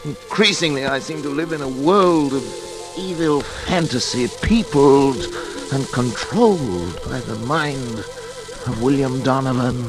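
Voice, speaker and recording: A male actor plays a haunted, middle-aged scientist in an old radio play. His mid-range voice broods and hesitates with theatrical dread, and dramatic music sits clearly behind it. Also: mid-range voice, unhurried, lightly acted. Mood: brooding dread. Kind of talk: 1940s radio acting.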